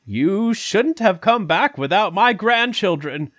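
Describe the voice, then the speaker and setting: An irritable old grandfather who owns a park, played by a man, speaks in a high, slow, imperious voice. The recording is close and dry, as in an audio drama. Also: lively intonation, a bit bright, dry recording, exaggerated voice. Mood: upset, demanding.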